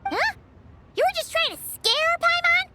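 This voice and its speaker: A woman voicing a small, childlike, excitable fairy companion in a video game, with a squeaky voice and an eager, naive way of talking. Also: measured pace, heavy background noise. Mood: surprised, hopeful. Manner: speaks of herself in third person, eager. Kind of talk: video game character acting. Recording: loud music over the voice.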